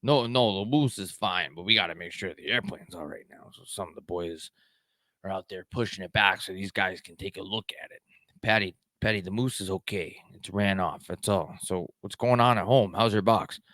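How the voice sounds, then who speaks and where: A man playing a gruff, demanding hockey coach in a one-man film reenactment, commanding and repetitive as he drives his players. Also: low-pitched, normal speed, a bit bright, dry recording. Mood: quiet, reassuring.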